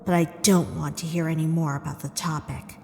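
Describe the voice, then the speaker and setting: A woman's voice: low-pitched, flat delivery, brisk, creaky. A woman acting a betrayed, indignant young princess in a dramatic comic dub, with an accusing, emphatic delivery. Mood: stern, disappointed. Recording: long hall reverb under music.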